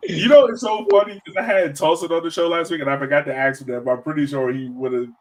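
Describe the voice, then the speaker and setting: A man's voice: a little high, steady tone, fast, poor audio. Casual American man hosting a podcast. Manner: informal, slangy, says "man" and "yo" often. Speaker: podcast host, interviewer. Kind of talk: casual interview chat.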